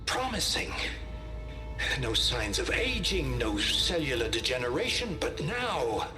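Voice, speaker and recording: A weary, anxious scientist talks to himself in a video game log. His mid-pitched voice is very animated, swinging with worry. Music is clearly audible behind him. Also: a little slow. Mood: anxious, wistful. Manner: fretful, musing to himself.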